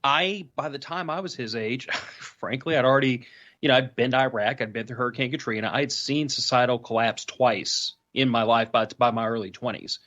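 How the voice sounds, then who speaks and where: A man's voice: mid-range voice, a little fast, fairly bright tone. Earnest man explaining, slightly dull sound. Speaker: panel participant. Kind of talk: casual explanatory discussion.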